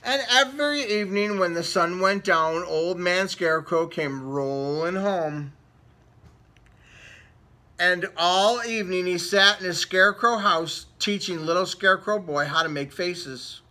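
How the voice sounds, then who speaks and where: A man's voice: sits high, a little slow, pushed and bright. A man reading a children's storybook aloud, dramatically stretching and repeating words for effect. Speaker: storybook reader. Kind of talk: animated read-aloud for children.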